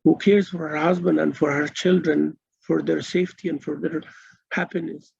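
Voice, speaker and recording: A man teaching a religious lecture to women students, earnest and halting, with many pauses and repeated phrases for emphasis, on a dull lo-fi recording. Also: on the high side, steady tone, vocal fry, darkish tone, slight background noise. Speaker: Islamic teacher and mentor.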